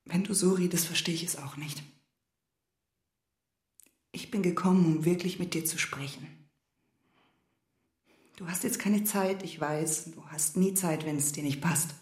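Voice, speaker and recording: A woman playing a proud, wounded wife in a German radio drama, reproachful and intense, sometimes dropping into hushed asides. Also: lowish voice, normal speed, pauses often, reverberant, natural voice. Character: wounded, proud wife confronting her husband and lover.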